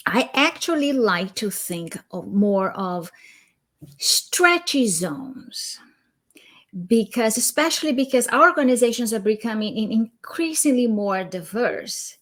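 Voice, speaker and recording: Slow-speaking Brazilian-accented woman. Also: medium pitch. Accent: Brazilian Portuguese. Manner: thoughtful, repeats words while searching. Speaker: guest, consultant. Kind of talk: interview conversation.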